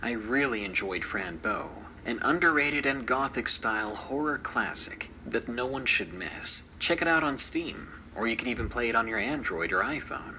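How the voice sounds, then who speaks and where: Bright, animated man narrating theatrically. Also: mid-range voice, moderate pace, few pauses, slight background noise, low-quality recording. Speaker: horror story channel narrator. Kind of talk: scripted, theatrical video narration.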